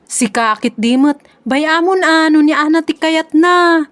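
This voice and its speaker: Woman acting a high-voiced easygoing village friend. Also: a little slow, a bit bright, no room sound. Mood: matter-of-fact. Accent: Ilocano. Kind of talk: comedy audio drama acting.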